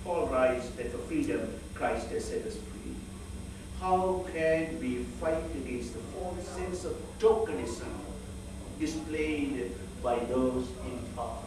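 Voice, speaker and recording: Earnest male preacher, fairly high voice, measured rhetorical delivery, faint room hum behind. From northern Sri Lanka. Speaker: speaker at a church fellowship. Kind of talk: sermon. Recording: echoey hall, voice from far off.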